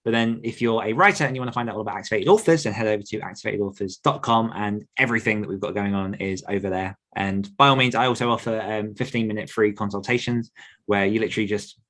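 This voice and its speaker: A man's voice: fairly low pitch, fast talker, fairly bright tone. A man speaking earnestly and conversationally as an author coach being interviewed.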